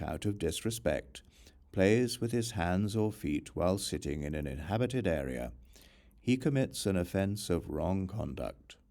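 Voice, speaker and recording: A man narrating a religious text as an audiobook in a measured, formal, deliberate manner. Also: on the low side, vocal fry, mellow.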